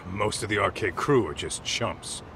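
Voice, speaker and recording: Gravelly, blunt tough-guy sidekick. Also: lowish voice, normal speed, noisy background. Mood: gruff, irritated. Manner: blunt, streetwise. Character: rough, foul-mouthed male tough guy, detective's loyal sidekick. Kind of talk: English video game dub acting.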